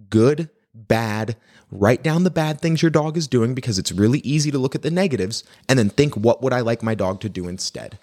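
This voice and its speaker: A man of middle pitch co-hosts a dog-training podcast and reads out listener questions. He talks briskly and readily agrees with his partner. His voice sounds dry and close. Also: a little mellow, dry recording. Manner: brisk, agreeable, quick to affirm. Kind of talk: casual podcast chat.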